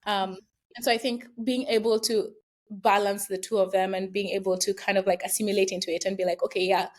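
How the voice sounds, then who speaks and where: A woman's voice: medium pitch, flat delivery, a little fast. Chatty young Kenyan woman. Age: young adult. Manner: chatty, reflective, frequent fillers and laughs. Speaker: guest, university student. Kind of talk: casual interview conversation.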